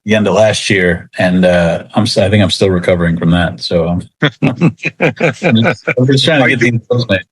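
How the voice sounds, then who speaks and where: A man chatting casually as an interview guest, talking about his work in a halting, laid-back way. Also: fairly low pitch, slightly rough. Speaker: interview guest, comic book writer.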